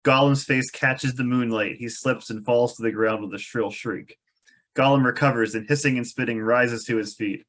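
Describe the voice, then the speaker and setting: A man's voice: mid-range voice, steady tone, normal speed, fairly bright tone. A man reading out stage directions as narrator at a fantasy script table read, with a wry manner.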